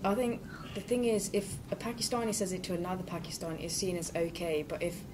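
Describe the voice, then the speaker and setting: A woman's voice: on the low side, fast. A young woman sixth form student from the UK, chatting openly in a group discussion and laughing easily. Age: young adult. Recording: distant mic with noise.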